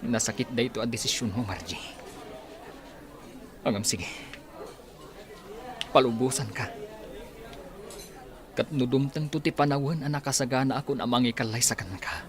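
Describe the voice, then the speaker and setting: A man's voice: medium pitch, moderate pace, long pauses, a crowd in the background, natural voice. A Filipino man acting an earnest, affectionate character in a radio drama. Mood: earnest, pleading.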